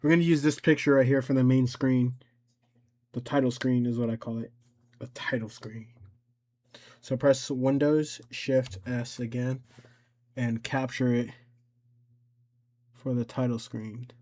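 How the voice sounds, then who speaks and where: A man giving an informal step-by-step software tutorial, thinking aloud and somewhat hesitant as he goes. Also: mid-range voice, steady tone, measured pace, long pauses. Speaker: tutorial host.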